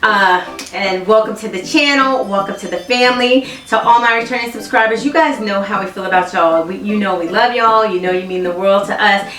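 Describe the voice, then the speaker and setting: A woman's voice: medium pitch, a bit bright, distant mic. A woman in her fifties from Virginia, chatting with viewers in a casual couples Q&A and laughing often. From Virginia, USA. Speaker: vlogger. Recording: echoey room, off-mic, music behind.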